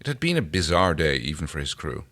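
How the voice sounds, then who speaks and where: A man's voice: very deep voice, very animated, quick pace, a bit bright. A man co-hosting a podcast, reading fan fiction aloud and talking casually. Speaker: podcast co-host and reader.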